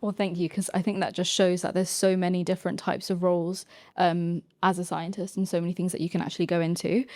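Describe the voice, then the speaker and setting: A woman's voice: lowish voice, flat delivery, fast, hardly any pauses, a little mellow, dry recording. A woman moderating an informal live podcast panel, putting questions to the guests. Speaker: panel host.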